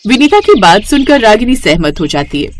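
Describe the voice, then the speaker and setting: A woman's voice: on the high side, very animated, moderate pace, a little hiss or hum. A woman reading scripted story narration as the narrator.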